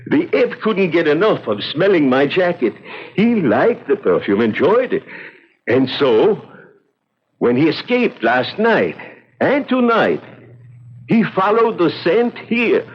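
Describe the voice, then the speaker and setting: Guilt-ridden confessor, excitable and self-justifying, swooping intonation, 1970s radio drama, muffled lo-fi sound. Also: male voice, on the high side, takes it slowly, slightly rough, exaggerated voice. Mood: defensive, desperate. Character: desperate, guilt-ridden poor sailor confessing. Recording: dull, lo-fi old radio recording.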